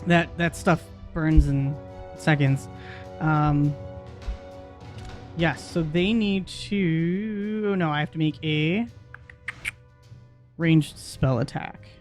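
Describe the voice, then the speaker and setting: A man's voice: fairly high pitch, drawn-out words, long pauses. A man talks as a player at a tabletop game, in casual speech. He works out his moves aloud, hesitantly. Faint music runs behind him.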